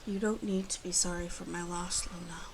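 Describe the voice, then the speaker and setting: A woman acts out a guarded, proud she-wolf with a gravelly creak in her hushed voice. She speaks haltingly and slips into whispers, protective and gruffly tender in an ASMR roleplay. A faint noisy ambience sits behind her. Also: fairly low pitch, level tone, lightly acted. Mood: solemn. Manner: slow, halting, hushed, often dropping to whispers.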